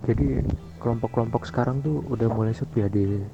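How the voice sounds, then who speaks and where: A man's voice: mid-range voice, fast talker. A man speaking formally and politely in an in-character online gangster roleplay negotiation. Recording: dull lo-fi voice chat, loud music. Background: music.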